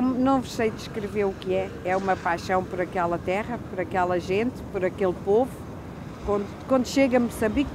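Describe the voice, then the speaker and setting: Older woman from Mozambique, reflective interviewee, halting delivery, noisy outdoor background. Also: medium pitch. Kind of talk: personal recollection.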